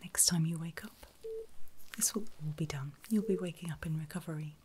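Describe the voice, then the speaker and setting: Woman, low-pitched soft whisper, breathy, unhurried, gentle bedside ASMR roleplay, audible background noise. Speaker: ASMR performer playing a medical carer.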